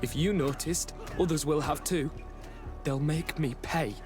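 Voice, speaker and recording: An actor playing a young male guard recruit who is bitter, frightened and guilt-ridden, confiding gravely in video game dialogue. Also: fairly high pitch, a little slow, over music, close to an everyday voice. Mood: anxious.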